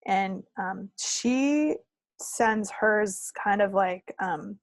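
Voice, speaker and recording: Female podcast co-host, casual and rambling, with plenty of vocal fry and a dry, close sound. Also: mid-range voice, extremely slow, dry recording. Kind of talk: casual chat about projects. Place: recorded at own end of a remote video call.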